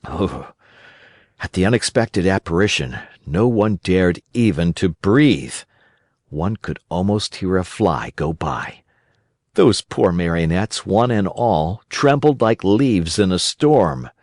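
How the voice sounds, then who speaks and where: A man narrating a children's storybook in a gentle, expressive storytelling manner. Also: deep voice, slow, dry recording, studio quality. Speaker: audiobook narrator.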